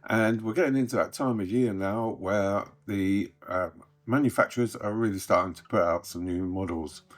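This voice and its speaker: Croaky deep-voiced man. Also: normal speed. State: croaky from a recent illness. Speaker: host. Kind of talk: casual scripted hobby news update.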